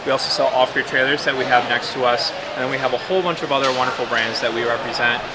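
Upbeat man pitching his company. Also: fairly even intonation, very noisy. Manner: upbeat, quick. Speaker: vendor describing his company. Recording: crowded expo hall.

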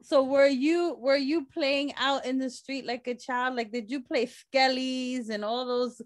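A woman with a high voice hosts a relaxed interview over a webcam. She asks questions in a playful, encouraging way. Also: measured pace, no room sound, clean studio sound. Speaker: host. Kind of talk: casual interview chat. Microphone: webcam on a video call.

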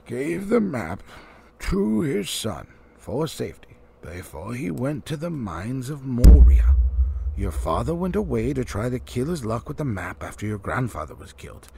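A man's voice: mid-range voice, vocal fry, mellow, slightly rough, heavily acted. A man voicing a stern, testy old male wizard from a storybook, speaking with grim emphasis and authority. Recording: faint background noise.

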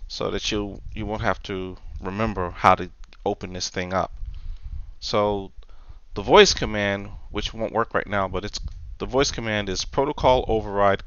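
A man presenting a software tutorial unscripted, explaining step by step in a halting way with pauses and repeats. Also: on the low side, clear, resonant tone, no room sound, dull audio. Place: indoors, dry voice-over for screen recording.

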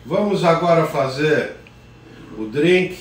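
An older man hosts a live cooking show from his home kitchen, talking slowly and warmly greeting viewers by name. His voice bounces around a hard, echoey kitchen, picked up by a phone set some way off. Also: on the high side, animated, far from the mic, a little hiss or hum. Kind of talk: casual live cooking demonstration. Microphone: phone camera at a distance. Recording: roomy kitchen echo from afar.

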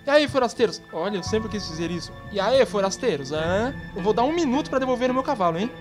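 A woman's voice: mid-range voice, animated, fast talker, music in the background, close to an everyday voice. A woman voicing a boastful young male cowboy with a Brazilian accent in a cartoon comedy. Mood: swaggering. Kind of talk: cartoon comedy sketch acting.

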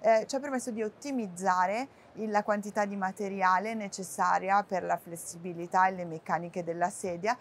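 A woman speaking in a casual interview as a company marketing director, relaxed and pausing often with hesitations. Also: mid-range voice, a little hiss or hum. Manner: relaxed, with frequent "eh" hesitations and light laughs. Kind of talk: casual trade-fair interview. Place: busy furniture fair stand.

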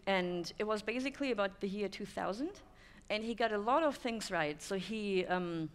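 A woman's voice: mid-range voice, lively intonation, normal speed. A German woman in her thirties giving a conference talk to an audience, thoughtful, with many "um" fillers. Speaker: speaker. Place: dim stone-walled room with audience.

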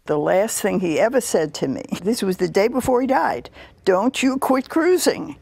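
Feisty gravelly woman in her eighties. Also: medium pitch, normal speed, no echo. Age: 80s. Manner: blunt, feisty, lively. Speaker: interviewee. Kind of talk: casual interview. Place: aboard a cruise ship lounge.

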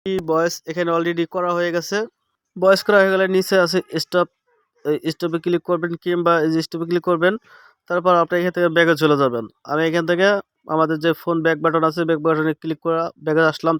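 A man's voice: fairly high pitch, a bit bright, clear, resonant tone, dry recording, clean studio sound. A man giving a casual step-by-step app tutorial, checking in with the listener as he instructs.

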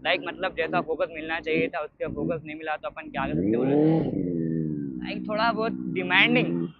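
A man's voice: on the high side, expressive, moderate pace, gravelly, crowd noise. An Indian man chatting casually and off the cuff as a motorcycle vlogger, rambling and repeating himself as he mixes two languages. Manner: rambling, repetitive, switching between Hindi and English. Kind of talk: casual unscripted chat while riding. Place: outdoors on a motorcycle on the road. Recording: muffled, noisy motorbike ride with engine and traffic sounds. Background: vehicle and road noise.

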